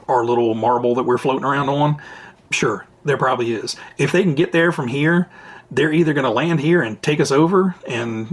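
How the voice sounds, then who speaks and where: This is a man with a tired, raspy voice, talking to viewers in a relaxed, rambling way. He keeps stopping and restarting his thoughts. It sounds like a home vlog recorded on a camera mic. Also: medium pitch. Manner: rambling, chatty, self-interrupting. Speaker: RC hobby channel host. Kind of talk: casual Q&A vlog talk. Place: at home, indoors.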